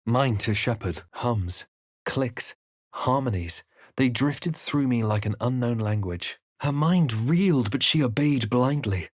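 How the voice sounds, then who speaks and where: A man playing a solemn, thoughtful adventurer hero in a fantasy video game, speaking in measured, dramatic lines. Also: medium pitch, expressive, no room sound, studio quality. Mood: solemn narration. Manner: measured, dramatic, literary delivery. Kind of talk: scripted game narration and character lines.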